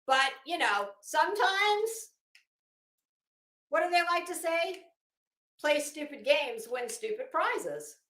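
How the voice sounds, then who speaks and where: Theatrical American woman with sweeping pitch. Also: animated, fairly bright tone, roomy sound. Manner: theatrical, emphatic delivery with deliberate drawn-out phrasing and asides. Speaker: commentary show host. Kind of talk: dramatic reading of legal documents with casual commentary.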